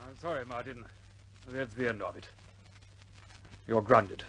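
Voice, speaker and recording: An actor playing a courteous but firm senior RAF commander in a 1950s British war film, formal and measured with pauses. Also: male voice, mid-range voice, steady tone, fairly bright tone, husky, no echo, low-quality recording. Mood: regretful, firm.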